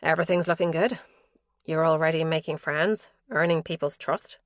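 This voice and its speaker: A woman voicing a cold, controlling older female police chief in an audiobook, with hard, slow and commanding lines. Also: deep voice, no echo, clean studio sound, lightly acted.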